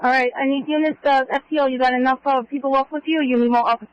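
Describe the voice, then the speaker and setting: A man working as a police dispatcher, giving brisk, directive instructions over a two-way radio. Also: extremely high pitch, steady tone, no echo. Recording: dull lo-fi police scanner radio.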